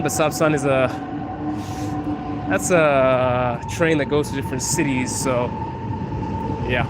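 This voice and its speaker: Chatty man streaming from a noisy metro. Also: mid-range voice. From Zimbabwe. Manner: chatty, answers chat questions, laughs often. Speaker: livestream host. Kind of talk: casual live-stream chat with viewers. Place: Moscow metro train and platform. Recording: loud train and city noise.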